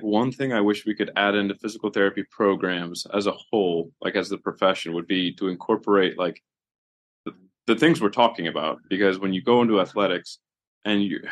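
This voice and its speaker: A man co-hosting a podcast, talking in a loose, conversational way with strong opinions. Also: lowish voice. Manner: conversational, animated opinions, many fillers. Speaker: podcast co-host, physical therapist. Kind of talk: casual podcast discussion.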